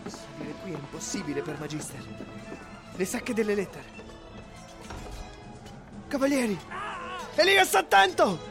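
Creaky, urgent male actor as a fleeing rebel. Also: high voice, big pitch swings, unhurried, long pauses, heavily acted. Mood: frantic. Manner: urgent, breathless commands. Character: desperate young rebel fleeing a massacre. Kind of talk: radio drama acting. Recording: music behind the voice.

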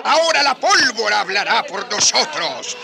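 An actor playing a furious colonial landowner, shouting defiantly and declaiming in a radio drama. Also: male voice, high voice, very animated, normal speed, creaky, full character voice. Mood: defiant rage. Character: furious colonial landowner rebelling against the crown. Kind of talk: Spanish-language radio drama acting. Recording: loud music and crowd clamour behind.

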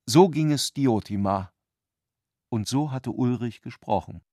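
A man delivers scripted literary narration for a radio play. His voice is mid-pitched, and he phrases things in a measured, reflective way. The recording is dry, with no room around the voice. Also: dark tone, no echo.

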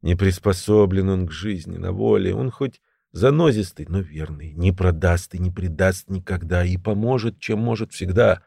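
A man voicing a weary, ailing crippled criminal veteran in an audiobook, creaky and short of breath, talking slowly in prison slang. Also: low-pitched, warm tone, dry recording, studio quality, exaggerated voice. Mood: weary, resigned. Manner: slow, labored prison-slang speech.

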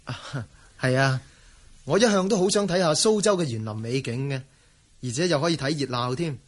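A husky, mid-pitched voice for a wandering gentleman swordsman played by a man in a martial arts radio play. He is courteous and inquisitive, with theatrical phrasing. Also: expressive, fairly quick, lightly acted. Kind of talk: Cantonese radio drama acting.

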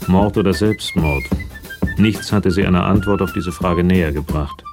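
A sober narrator in a radio crime drama. The voice is very low with audible fry, measured and detached, with faint music behind it. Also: male voice.

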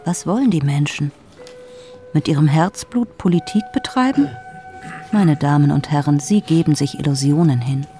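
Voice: mid-range voice, normal speed, mellow, faint music behind, natural voice. An actor playing a sceptical political thinker in a rhetorical, disillusioned scripted monologue. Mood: sceptical, cool.

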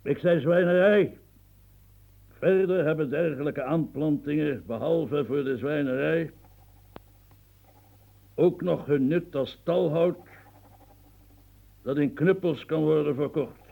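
A man's voice: on the high side, slow, gravelly, long pauses, phone-quality sound, full character voice. An actor playing a blunt, irritable old marquis in his seventies with a cold, dictating in a coarse, rustic way. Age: 70s. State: snotty cold, coughing. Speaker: elderly marshal dictating. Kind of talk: radio drama acting.

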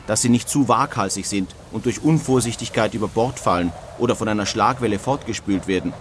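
A man's voice: on the low side, fairly quick, slight background noise, natural voice. An actor playing an earnest nineteenth-century emigrant, reading his letters home in a dramatized style. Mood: grave, earnest. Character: earnest male 19th-century German emigrant writing home.

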